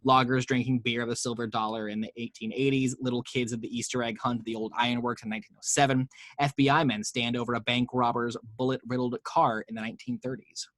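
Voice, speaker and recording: A man's voice: medium pitch, little pitch movement, fast, fairly bright tone, no echo. A man narrating the action lines of a screenplay table read, with wry, playful asides.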